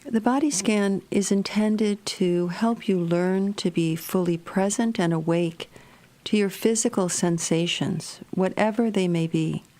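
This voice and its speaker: A woman narrating a guided meditation in a gentle, measured, soothing manner. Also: mid-range voice.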